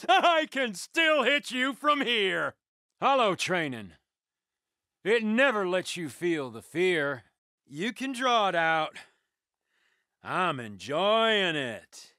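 A man's voice: high-pitched, big pitch swings, slow pace, no echo, clean studio sound, heavily acted. An actor playing a sadistic, gloating male villain in a video game, taunting his prey with menacing glee. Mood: mocking, aggressive. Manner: taunting, gleeful, menacing, swinging from murmurs to shouts. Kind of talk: video game combat voice lines.

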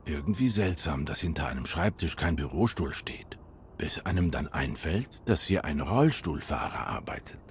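A man voicing a brooding private detective in a noir video game, speaking in a measured inner monologue as if murmuring to himself. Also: extremely low pitch, expressive, a little hiss or hum. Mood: pondering. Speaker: detective narrator and protagonist.

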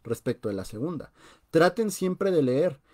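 A man's voice: a little high, very animated, normal speed, warm tone. A young man with a Mexican Spanish accent, hosting a live-streamed personal finance talk from a home room over a webcam, conversational and emphatic, often saying "o sea". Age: young adult. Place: small room at home, indoors. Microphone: webcam on a video call.

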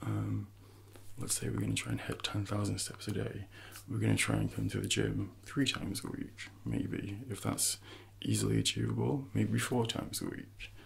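A man in his thirties speaking softly and gently in an ASMR personal trainer role-play, close to the microphone with unhurried, hesitant pauses. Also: lively intonation. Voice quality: soft, breathy, gravelly low voice.

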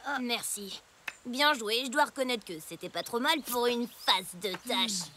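Casting: taunting little cartoon village bully, sarcastic, high voice with lively swings. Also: female voice, unhurried, a little hiss or hum. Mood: grudging, sarcastic. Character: mocking, sneering young village boy bully. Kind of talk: children's cartoon dubbing.

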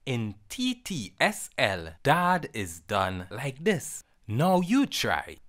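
Children's lesson presenter, very unhurried, varied intonation, simple instructional phrasing. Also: medium pitch, wildly swooping pitch, fairly bright tone. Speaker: presenter teaching sign words. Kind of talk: sign language lesson narration for children.

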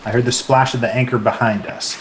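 A storyteller, played by an actor with a medium-pitched voice. The delivery is scripted first-person narration of a boyhood sea adventure, in radio play style. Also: steady tone, a little fast, background noise, close to an everyday voice.

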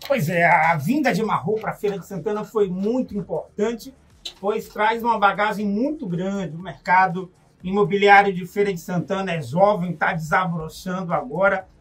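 A man's voice: high voice, normal speed, fairly bright tone, husky, recorded from a distance. A man hosting a radio interview, asking upbeat leading questions in a studio. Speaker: radio show host.

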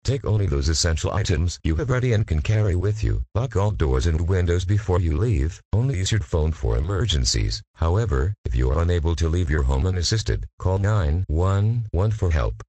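A man's voice: low-pitched, animated, normal speed, hardly any pauses, dark tone, husky, no echo. A man reading a formal scripted emergency announcement.

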